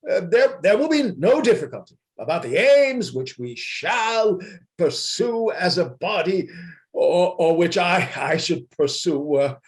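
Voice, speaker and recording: A man playing a flustered, excitable anarchist orator in an amateur radio play reading, stammering and halting theatrically over a video call. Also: high-pitched. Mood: nervous oratory.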